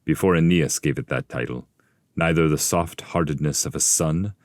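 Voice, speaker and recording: A man acts the restless spirit of an old seafarer in an epic verse audiobook. The voice is low, proud and grave, declaiming its story. It is recorded dry, without room sound. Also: moderate pace, dry recording.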